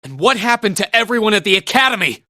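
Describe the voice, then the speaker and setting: An earnest young game hero. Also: male voice, high voice, sing-song, fast talker, bright, forceful tone, no room sound, studio quality, exaggerated voice. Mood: wary, questioning. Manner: earnest, serious. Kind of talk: English video game voice acting.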